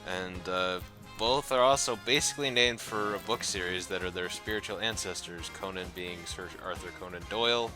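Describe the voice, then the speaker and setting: A man speaking in a measured, slightly lecturing way on a casual podcast call. His voice is low. Music is clearly heard behind him. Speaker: co-host.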